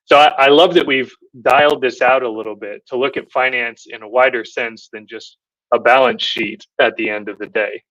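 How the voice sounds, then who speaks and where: A man's voice: medium pitch, fairly even intonation, normal speed, a bit bright, poor audio. A man hosting an interview who sums up his guest's points and asks follow-up questions in a thoughtful way, with frequent ums. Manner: thoughtful, many filler ums. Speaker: host. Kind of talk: interview moderating, summarizing.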